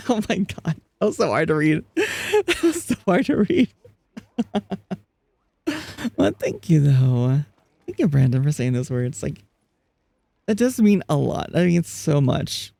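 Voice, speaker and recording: A chatty streamer talking casually through a live game, often breaking into laughter. The voice is gravelly and mellow, and it is caught dry and close with no room sound. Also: medium pitch, very animated, a little slow, pauses often, no echo. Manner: chatty, laughs often, reads chat aloud; frequent restarts and fillers. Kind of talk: casual live-stream chat while gaming.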